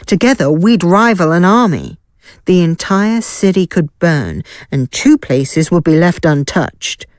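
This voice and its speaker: A woman's voice: fairly low pitch, a little mellow, no echo, studio quality. A woman playing an assured, wry guild fighter in a fantasy audio drama. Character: Ilaeda, confident wry female guild fighter. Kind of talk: scripted audio drama acting.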